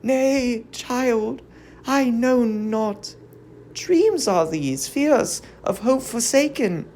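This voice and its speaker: A woman playing an aged, fallen queen in a verse tragedy, mournful, stern and weary, with solemn drawn-out lines. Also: on the high side, expressive, darkish tone, a little hiss or hum. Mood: weary dread. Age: older. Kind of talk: classical verse tragedy, declaimed.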